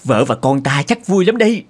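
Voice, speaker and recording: A man's voice: high voice, breathy edge, full character voice. A man voicing a burly, mocking, suspicious hunter in a cartoon, theatrical and blustering. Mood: gloating. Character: burly middle-aged male hunter, gloating, mocking, suspicious. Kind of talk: cartoon character acting.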